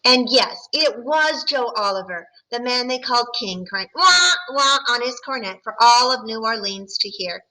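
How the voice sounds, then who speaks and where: A woman teacher reads a picture book aloud to young students. She stops to ask them questions and explain the story. Her voice is bright and rather high, with a friendly, patient tone. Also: moderate pace, hardly any pauses, low-quality recording. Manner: friendly, explaining to children between passages.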